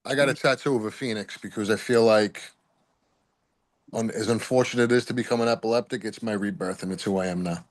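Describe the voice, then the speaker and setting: A man's voice: fairly low pitch, steady tone, fast. A man in a casual group chat, speaking in a thoughtful, earnest way.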